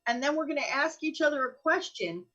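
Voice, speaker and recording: A woman with a fairly high voice chats casually as the co-host of a live show. The sound is a little dull and lo-fi, like online audio. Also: lively intonation, fairly quick, bright, forceful tone.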